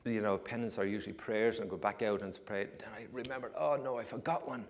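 A man's voice: fairly low pitch, fairly even intonation, quick pace. A man in his fifties with an Irish accent, telling his life story to a congregation in a chatty way, with humorous asides and frequent restarts. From Ireland. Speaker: guest giving a testimony. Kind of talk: personal testimony told to a congregation. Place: church sanctuary. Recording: church hall reverb.

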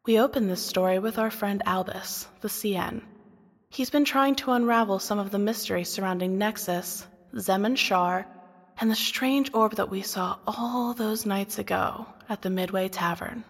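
A woman's voice: mid-range voice, normal speed. A woman narrating a scripted fantasy story in a dramatic storytelling style. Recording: long reverberant hall effect.

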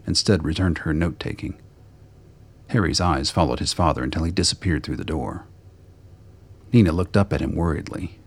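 A man's voice: very deep voice, gravelly, breathy edge. A man narrating a scripted radio drama.

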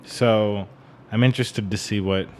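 A young American man co-hosting a podcast, talking casually about music in a halting, hedging way. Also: on the low side, steady tone. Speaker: podcast co-host.

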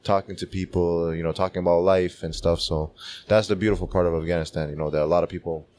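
A man in his twenties, born in Canada and raised in Czech Republic, speaks as a boxer guest in relaxed, laid-back chat full of "you know.". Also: sits low, fast talker. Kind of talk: casual interview chat.

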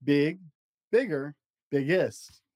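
A man hosting a storytime show and reading a children's book aloud expressively, in deliberate short phrases with an encouraging manner. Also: fairly high pitch, animated, darkish tone, no room sound, studio quality. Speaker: podcast host reading picture books aloud.

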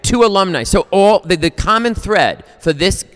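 A man's voice: sits high, lively intonation, normal speed, a bit bright. A man hosting a podcast, tired and chatty, rambling in a self-deprecating way. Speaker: podcast host. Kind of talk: casual interview chat. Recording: reverberant hall.

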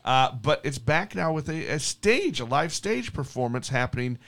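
A man hosting a local podcast, chatty and lively, often repeating words as he talks. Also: mid-range voice, moderate pace. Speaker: podcast host. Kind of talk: casual podcast chat with ad read.

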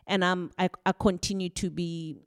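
A woman's voice: fairly low pitch, little pitch movement, normal speed, clear, resonant tone, dry recording. Earnest woman, dry close sound. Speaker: interview guest. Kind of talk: conversational interview answers.

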